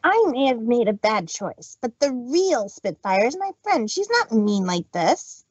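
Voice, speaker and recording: Woman voicing a brash, husky cartoon pegasus pony. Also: on the high side, very animated, a little slow, no room sound, highs cut off, full character voice. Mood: defiant. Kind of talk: fan fiction audio drama acting.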